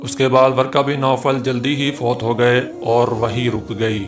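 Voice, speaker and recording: A man narrates a scripted religious story in a medium-pitched voice. His delivery is measured, formal and reverent, in the manner of a devotional storyteller. Music plays clearly behind his words. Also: fairly even intonation.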